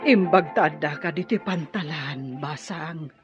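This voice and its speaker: A woman's voice: low-pitched, unhurried, music in the background. A woman playing an anguished middle-aged mother in a radio drama, plaintive and given to exclaiming over her missing son. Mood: sorrowful. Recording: dull lo-fi radio drama audio.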